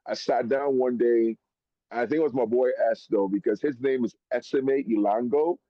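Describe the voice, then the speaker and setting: Trinidad-born guy on a podcast, slangy and animated, calls you bro, muffled dry audio. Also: medium pitch, moderate pace, no echo. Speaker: rapper guest. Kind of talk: casual podcast chat. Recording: dull, dry lo-fi sound.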